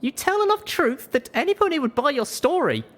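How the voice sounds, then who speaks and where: A feisty young mare played by a woman narrator from a pony adventure audiobook. The voice sits high and swings with emphasis. She is stubborn and suspicious, pressing for answers in a confrontational way. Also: very animated, brisk. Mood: accusing, defiant. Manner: confrontational, demanding.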